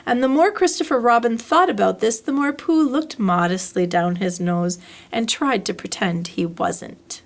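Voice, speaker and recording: A woman reading a children's story aloud in an expressive, deliberate storytelling style. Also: a little high.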